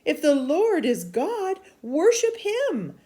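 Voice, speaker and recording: A woman's voice: high voice, big pitch swings, slow, pitched above the performer's own voice, full character voice. A woman reading as a bold commanding prophet. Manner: emphatic, loud storybook delivery.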